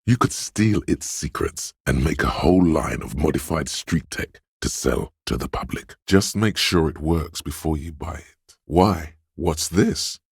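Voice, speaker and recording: An actor voicing a man's brooding, tactile inner voice in a game, narrating with husky gravel in a deliberate, dramatic, literary manner. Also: extremely low pitch, animated, dry recording, clean studio sound, exaggerated voice. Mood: wry, measured.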